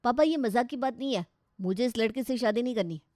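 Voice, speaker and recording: Woman as a mellow-voiced carefree young Indian husband. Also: a little high, fairly quick, no room sound, clean studio sound, lightly acted. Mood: protesting. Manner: casual, complaining.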